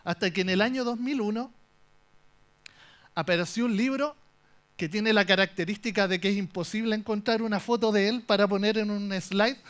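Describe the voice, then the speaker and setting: A young Chilean man with a fairly high voice gives a conference talk from the stage. He jokes his way through the story, lively and playful, with a Chilean accent throughout. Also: moderate pace, clear, resonant tone. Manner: animated, joking storyteller. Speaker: conference speaker. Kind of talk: humorous tech talk.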